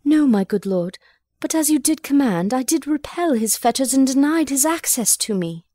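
A woman's voice: sits high, lively intonation, moderate pace, warm tone, dry recording, studio quality. An actress playing an obedient, troubled young noblewoman in a classical drama reading.

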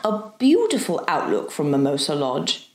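A woman's voice: low voice, wildly swooping pitch, moderate pace, roomy sound, voice pushed down, full character voice. A woman voicing a mild, bookish male professor in an audiobook, courteous, formal and kindly, with some hesitation. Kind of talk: audiobook character voice.

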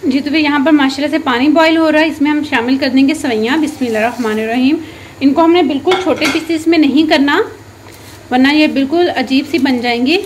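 High-voiced woman cooking at home. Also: fairly quick, slight background noise. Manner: frequent religious blessings, homely instructive. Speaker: cooking channel host. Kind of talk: narrating a home cooking recipe. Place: home kitchen.

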